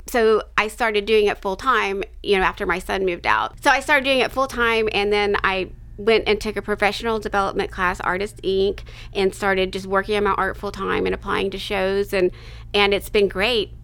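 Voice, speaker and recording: A woman in her fifties talks casually as an interview guest, her voice bright and sharp-edged with a lot of gravel in it. She meanders, restarts her thoughts and adds little asides as she tells her story. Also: mid-range voice, fairly even intonation, normal speed. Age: 50s. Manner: rambling, self-interrupting, laughs between thoughts.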